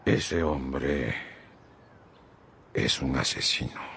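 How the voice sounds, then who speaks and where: An actor playing a sly, oily thief in a radio drama, gravelly and insinuating. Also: male voice, rumbling low, extremely slow, bright, forceful tone, a little hiss or hum, exaggerated voice. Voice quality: gravelly, husky. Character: sly, oily old thief selling sinister secrets. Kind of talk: Spanish-language radio drama acting.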